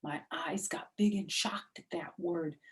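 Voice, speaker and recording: A woman's voice: lowish voice, unhurried. A woman storyteller reading a children's book aloud, addressing young listeners directly in simple words.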